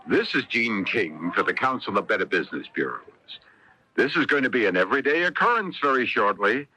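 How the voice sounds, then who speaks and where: A man's voice: fairly low pitch, sing-song, a little fast, pushed and bright, husky, rough recording. A man delivering a scripted, explanatory public service announcement on 1970s radio. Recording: dry 1970s radio broadcast.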